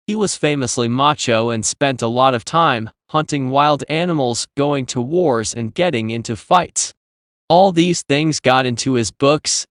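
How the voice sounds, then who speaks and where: A man's voice: medium pitch, dry recording. Dry studio-close man reading aloud. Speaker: reader of exam practice passages. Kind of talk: scripted read-aloud narration.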